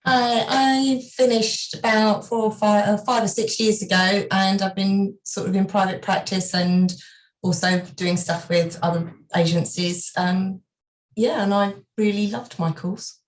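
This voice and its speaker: A woman guest in a casual podcast talk, relaxed and a little hesitant, with a mid-range voice. She sits away from the mic, so her voice sounds distant and roomy. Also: medium pitch, measured pace. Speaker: counsellor guest.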